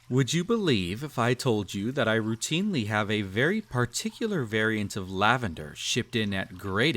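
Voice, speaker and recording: A man playing a silky, low-voiced possessive emperor. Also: a bit bright. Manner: pompous, formal, teasing, patronizing. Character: cruel, possessive male emperor, silky and condescending. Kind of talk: scripted roleplay audio drama, intimate address to listener.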